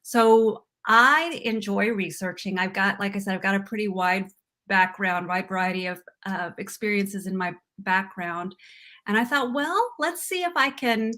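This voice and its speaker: A woman's voice: mid-range voice, measured pace, pushed and bright. A middle-aged woman, a former teacher, chatting casually as a guest on a live video call, telling her story with many fillers. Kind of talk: casual interview chat. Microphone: video call mic.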